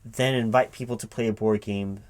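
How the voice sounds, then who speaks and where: A man playing a recruiting interviewer in a one-sided spoken ASMR roleplay, halting and repeating his words in a baffled, exasperated way. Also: on the low side, fairly even intonation, a little mellow. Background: computer keyboard typing.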